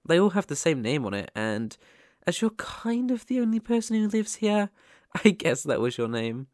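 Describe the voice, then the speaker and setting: A young British man voicing a shy, lonely but eager ghost in an ASMR roleplay, stammering, gentle and apologetic. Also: high-pitched, big pitch swings, moderate pace, no room sound, clean studio sound. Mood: shy, surprised.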